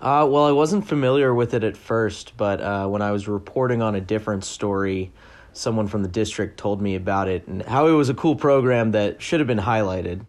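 Lower-voiced man chatting loosely as a reporter. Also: fairly quick, a little mellow. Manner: loose, filler-heavy talk with many likes and uhs. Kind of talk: casual interview answers.

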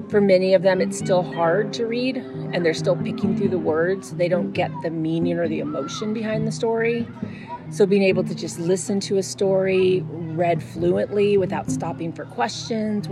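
A middle-aged American woman with a fairly low voice, speaking as a teacher. She explains or reads aloud, with music clearly playing behind her. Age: middle-aged or older. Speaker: fifth grade teacher.

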